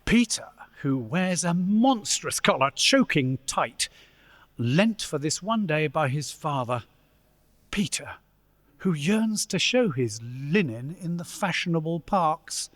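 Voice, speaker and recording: A man playing a storyteller, narrating a Victorian tale with theatrical, deliberate delivery in an echoey church. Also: on the high side, sing-song, fairly bright tone, heavily acted. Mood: relishing. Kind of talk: dramatic scripted narration. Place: church, live performance. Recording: echoey church hall, long reverb.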